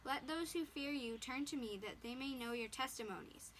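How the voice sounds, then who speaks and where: A woman's voice: high voice, moderate pace, a little hiss or hum. A woman reading a passage of scripture aloud. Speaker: reader.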